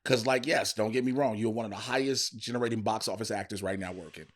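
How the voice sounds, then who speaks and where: A man's voice: fairly low pitch, steady tone, fast. A man co-hosting a comedic podcast, chatting casually and profanely, giving strong opinions and acting out imagined dialogue. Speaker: podcast co-host.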